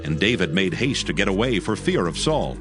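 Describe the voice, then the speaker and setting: A man giving scripted narration of dramatized scripture in a solemn, measured storytelling manner. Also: deep voice, big pitch swings. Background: faint background music.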